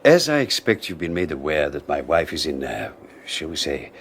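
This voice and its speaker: An actor playing a courteous, quietly bitter younger husband in a 1980s radio horror drama, dry and ironic. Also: male voice, very deep voice, sing-song, normal speed, slight background noise, exaggerated voice. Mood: formal. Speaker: Carlos Mendoza.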